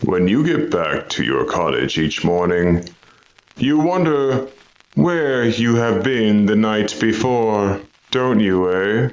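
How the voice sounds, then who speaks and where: A gloating male vampire from a radio horror play. He speaks very slowly in a fairly low voice, swooping theatrically with menace. The sound is a little dull and lo-fi. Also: expressive. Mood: sinister taunting. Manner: menacing, drawn-out, theatrical delivery with cackles. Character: sinister gloating male vampire, cruel and commanding. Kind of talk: classic radio horror drama acting.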